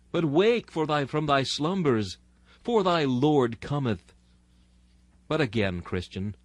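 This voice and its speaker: A man with a mid-pitched voice delivers an archaic sermon as a dramatic reading. His manner is solemn and exhortatory, leaning on rhetorical delivery. The sound is dry, with almost no room around the voice. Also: no echo. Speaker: sermon reader.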